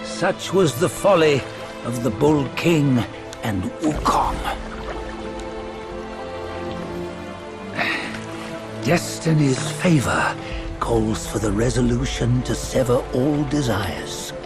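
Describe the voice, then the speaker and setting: A man playing a stern, scornful celestial judge in a fantasy game, speaking in measured, archaic, moralizing phrases. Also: mid-range voice, over music, close to an everyday voice. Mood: solemn, admonishing. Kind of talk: theatrical fantasy game dialogue.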